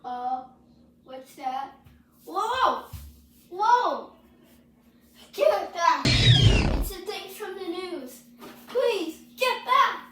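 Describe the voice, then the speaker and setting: Someone playing a high-pitched, halting shed worker. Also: male voice, steady tone, over music. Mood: panicked. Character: anxious shed worker in Costa Rica, pleading, scared. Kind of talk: amateur improvised horror roleplay. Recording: noisy, clicking, roomy amateur microphone.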